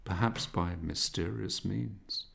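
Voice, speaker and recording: Male audiobook narrator, lowish pitch, slow, gravelly creak, measured, expressive, literary. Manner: measured, expressive, dramatic reading.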